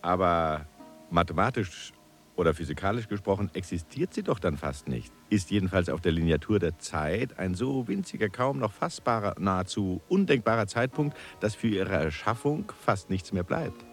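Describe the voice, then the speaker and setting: An actor playing an eager, questioning human moon traveller in a German radio drama, theatrical and given to hesitant musing. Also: male voice, low-pitched, animated, moderate pace, faint music behind. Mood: puzzled, probing.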